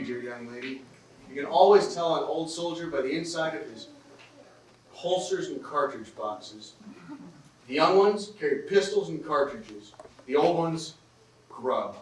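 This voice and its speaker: A man on stage playing a weary, practical Swiss soldier in a classic comedy, wry, polite and self-deprecating. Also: a little high. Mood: grateful. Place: theatre stage, recorded from the audience. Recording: roomy, distant stage recording with hiss.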